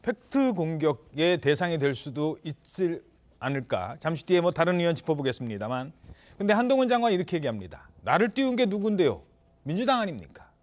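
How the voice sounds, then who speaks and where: A male television news host with a high voice that swings widely as he talks. He tees up stories and questions his panel in a brisk, animated, conversational way. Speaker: news talk show host. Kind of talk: broadcast political talk.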